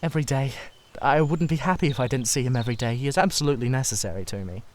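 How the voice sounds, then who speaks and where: He is an earnest, idolising artist, acted out by a man in a dramatised classic novel. His mid-range voice is fervent and confessional, halting as he searches for words. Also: medium pitch, darkish tone, slight background noise, natural voice. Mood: devoted, earnest. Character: earnest, intense, idolising male painter. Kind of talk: dramatised literary audiobook reading.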